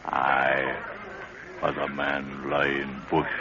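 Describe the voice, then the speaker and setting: An actor playing a huge, loyal African bodyguard who speaks halting pidgin English in clipped phrases, in 1930s radio drama style. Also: male voice, monotone, a crowd in the background, heavily acted. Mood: terse, hushed. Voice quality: deep, low voice. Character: huge loyal African bodyguard and gun bearer, terse, stoic. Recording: muffled, noisy 1930s radio recording.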